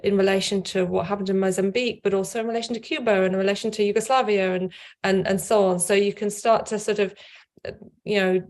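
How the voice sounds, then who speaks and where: A woman's voice: mid-range voice, flat delivery, fast, a little mellow. A middle-aged woman speaking on a video call from a home office, thoughtful, repeating words as she gathers her ideas. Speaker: author and scholar. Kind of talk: casual panel talk.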